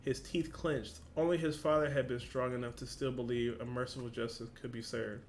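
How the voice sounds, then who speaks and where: A man's voice: medium pitch, flat delivery, brisk, a little mellow, slight background noise. A man with a casual, slangy, informal way of talking, hosting a reaction video and reading along.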